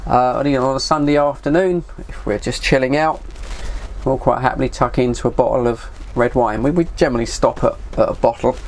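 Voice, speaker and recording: A man's voice: mid-range voice, fairly even intonation, brisk, a little mellow, slight background noise. A middle-aged man with an English accent chats casually and ramblingly to viewers, self-deprecating and mildly sweary. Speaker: hobbyist vlogger and CB radio enthusiast. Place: shed.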